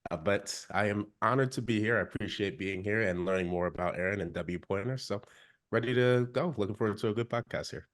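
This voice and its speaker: Deep-voiced polite man co-host. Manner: measured, polite, slightly hesitant. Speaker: podcast co-host. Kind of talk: podcast interview.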